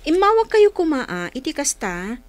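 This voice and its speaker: A woman's voice: high voice, sing-song, moderate pace, dry recording. A woman playing a polite, earnest young married woman in a radio drama, deferential, with an Ilocano accent. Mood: friendly, respectful.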